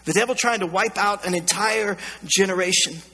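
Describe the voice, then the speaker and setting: A man's voice: high voice, normal speed, sharp tone, breathy edge. A man with an American accent preaching a sermon in an emphatic, colloquial way, repeating phrases, his voice scratchy and strained. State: scratchy, strained voice going hoarse. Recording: long hall reverb.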